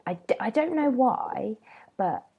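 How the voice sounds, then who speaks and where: A woman's voice: on the high side, expressive, unhurried, slightly rough, narrow, tinny audio. A woman hosting a casual solo podcast, rambling and chatty with lots of ums, sounding a bit nasal from a cold. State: has a cold, slightly nasal. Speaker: podcast host.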